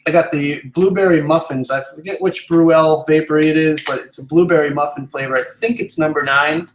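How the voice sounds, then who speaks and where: A man in his twenties with a slightly high voice talks casually to a live stream audience. He rambles and thinks aloud as he goes. The sound is noticeably roomy and echoey. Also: steady tone, brisk, a little hiss or hum. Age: 20s. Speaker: live stream host, vape product reviewer. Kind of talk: casual live stream chat, answering viewer questions.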